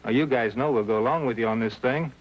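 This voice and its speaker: An actor playing an easygoing, cooperative American juvenile officer in clipped 1950s police drama style. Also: male voice, mid-range voice, fast, close to an everyday voice. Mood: casual, helpful. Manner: casual, terse, drops subjects. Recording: muffled 1950s TV soundtrack.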